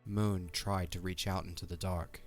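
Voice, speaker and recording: A deep-voiced man narrates a story over faint music. His delivery is measured and solemn, as the narrator of an audio drama. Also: steady tone.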